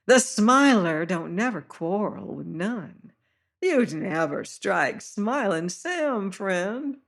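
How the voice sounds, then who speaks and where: A reader voicing a fat, sly sailor man who talks in a soft, high-pitched, falsely friendly voice in old sea dialect. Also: very animated, slow, gravelly, heavily acted. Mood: oily, wheedling.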